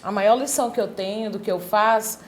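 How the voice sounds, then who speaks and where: A woman's voice: mid-range voice, a little fast. A woman from Ceará with a Cearense accent, giving reflective interview answers full of tag questions. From Sobral, Ceará, Brazil. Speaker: guest, cultural worker.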